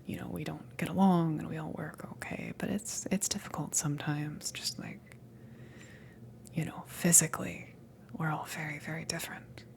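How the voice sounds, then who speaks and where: A woman plays a friendly alien crewmember in an intimate ASMR roleplay. Her voice is low and gravelly with fry, often slipping into a soft whisper. She talks haltingly, awkward and easily flustered. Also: fairly even intonation. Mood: awkward, chatty. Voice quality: soft, breathy half-whisper. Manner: hesitant, awkward, giggly, halting.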